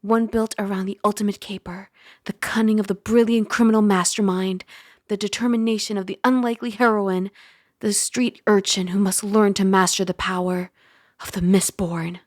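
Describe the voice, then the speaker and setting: A woman playing a caring, teasing young dragon girl roommate in a soft-spoken ASMR roleplay, gentle and conversational on a close mic. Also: medium pitch, steady tone, normal speed, no echo, close to an everyday voice. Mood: dramatic reading. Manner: gentle, playful, conversational, with sighs and hushed asides. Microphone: close microphone.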